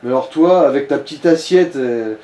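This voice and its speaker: A man's voice: medium pitch, moderate pace, dark tone. A man chatting casually as he co-commentates a video game, explaining things and slipping in joking asides. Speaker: let's play co-commentator. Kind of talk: casual gaming chat. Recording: roomy echo, background noise.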